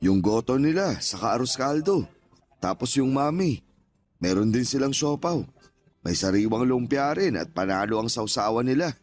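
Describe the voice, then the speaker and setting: Radio drama actor as a kindly older ex-vendor, medium-pitched, folksy and chatty, faint music underneath. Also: male voice, lively intonation, moderate pace, natural voice. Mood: chatty, contented. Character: kindly, talkative older father, former street vendor. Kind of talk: Tagalog radio drama dialogue.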